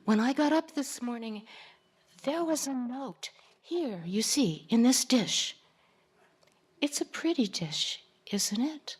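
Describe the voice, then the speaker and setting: Soft-voiced older woman, slow and gentle. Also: on the high side, lively intonation, husky. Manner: gentle, unhurried. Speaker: poet reading at an event. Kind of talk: poetry reading with spoken introduction.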